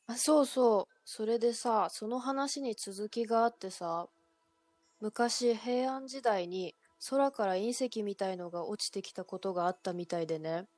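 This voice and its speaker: A woman's voice: mid-range voice, unhurried, darkish tone, dry recording, natural voice. A woman performing in an amateur radio drama as a young woman casually telling friends an urban legend. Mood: chatty.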